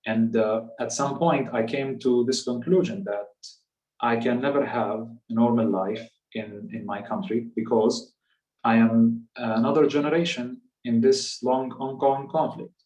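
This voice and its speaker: A man's voice: mid-range voice, steady tone, unhurried, darkish tone, dull audio. Echoey, distant Palestinian man telling his story. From West Bank, Palestinian territories. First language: Arabic. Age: 30s. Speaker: guest speaker. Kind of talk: personal storytelling in a public talk. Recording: echoey room, distant microphone.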